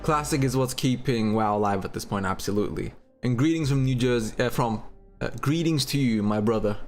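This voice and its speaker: A man's voice: medium pitch, fairly quick. Australian man streaming casually over faint music. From Turkey and Australia. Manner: informal, sweary. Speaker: livestream host. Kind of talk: casual livestream chat.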